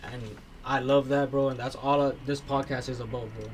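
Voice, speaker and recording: A young man co-hosting a casual podcast. He talks fast and keeps checking in with his listeners. His pitch is average, with faint noise in the background. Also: little pitch movement, low-quality recording. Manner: fast, keeps asking "you feel me?". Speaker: podcast host. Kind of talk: casual chat.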